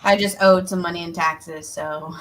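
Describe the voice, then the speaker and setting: A woman's voice: on the low side, steady tone, moderate pace, slight background noise. A woman co-hosting a show, chatting casually with the guest and her colleagues. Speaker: show co-host. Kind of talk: casual chat.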